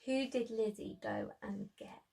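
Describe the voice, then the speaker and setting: A woman speaking to young children as a preschool storyteller, with expressive emphasis and simple phrasing. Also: mid-range voice, unhurried, creaky, slightly rough.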